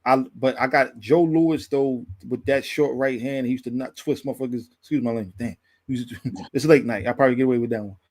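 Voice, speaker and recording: A man's voice: medium pitch, fairly quick, darkish tone. A man in a casual sports debate, slangy and often repeating himself. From Brooklyn, New York. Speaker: boxing show panelist.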